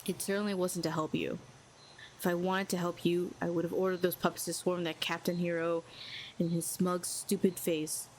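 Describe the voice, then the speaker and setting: A woman's voice: lowish voice, little pitch movement, normal speed, a little mellow, slight background noise, rough recording. A woman playing a proud, lonely telepathic villain who talks in a sardonic, cool and needling way. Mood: guarded. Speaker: audio roleplay performer. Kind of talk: scripted audio roleplay.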